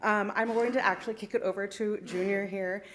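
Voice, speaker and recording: A woman's voice: mid-range voice, fairly even intonation, brisk. Female teacher, casual slightly hesitant introduction at council, faint background hum. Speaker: high school club sponsor. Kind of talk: brief introduction at council meeting. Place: city council chamber.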